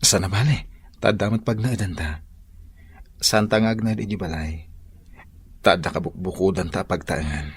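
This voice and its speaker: An actor playing an earnest, insistent young suitor and dutiful son in a radio drama. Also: male voice, low voice, normal speed, long pauses, slightly rough, heavily acted. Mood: earnest, pleading. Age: young adult. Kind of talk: Ilocano radio drama acting.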